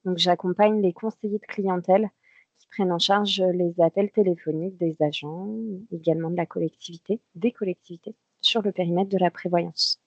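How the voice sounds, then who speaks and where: A woman introducing herself as a customer service manager in a video meeting, talking quickly and casually. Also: fairly low pitch, fairly even intonation, dry recording, dull audio. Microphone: video call.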